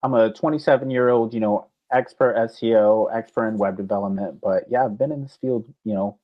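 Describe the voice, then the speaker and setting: Man in his 20s, podcast co-host, lowish voice, dull lo-fi sound. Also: brisk. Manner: upbeat, addresses viewers, frequent "you know". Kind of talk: casual podcast chat.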